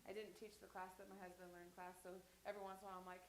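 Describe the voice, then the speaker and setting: Woman, 30s, sign language teacher, casual rambling talk, mid-pitched voice, echoey church room. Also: flat delivery, very fast, a little hiss or hum. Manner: chatty, rambling with anecdotes and restarts. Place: church hall.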